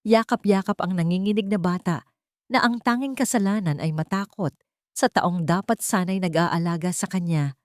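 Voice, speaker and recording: A woman's voice: on the low side, dry recording, clean studio sound. A woman narrating a scripted dramatic story.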